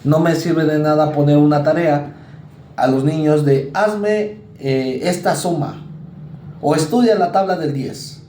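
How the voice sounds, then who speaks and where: A male university lecturer with a Mexican accent, giving a conversational psychology class and acting out students and parents in quick asides. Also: on the high side, dark tone, reverberant, slight background noise. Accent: Mexican Spanish.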